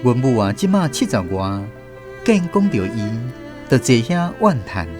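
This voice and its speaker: Taiwanese man narrating with lively, swooping pitch. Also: a little slow, over music. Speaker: host and narrator. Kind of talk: expressive scripted storytelling narration.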